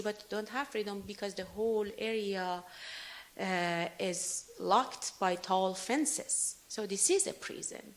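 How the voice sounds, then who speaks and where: A woman answering questions after a talk on her paintings, speaking in in an earnest, halting and emotional way. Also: mid-range voice. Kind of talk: lecture Q&A answer.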